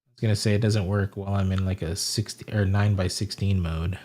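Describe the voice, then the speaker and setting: A man with a somewhat low voice hosts a relaxed live stream. He chats loosely with viewers and thinks out loud as he tries out stream settings, in a rambling, easygoing way. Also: quick pace. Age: adult with a wife and family. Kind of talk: casual live-stream chat.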